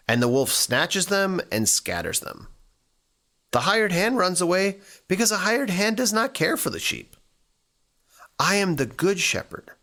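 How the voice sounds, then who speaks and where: A man with a mid-pitched voice delivers a scripture reading and reflection. His intonation moves up and down a great deal. He phrases things deliberately and earnestly, like a church staff member leading a devotional. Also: a bit bright.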